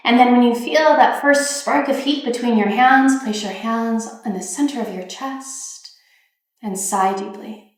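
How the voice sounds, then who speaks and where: A woman leading a guided meditation, giving gentle, soothing instructions in an unhurried way with pauses. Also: a little high. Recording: very echoey, reverberant room.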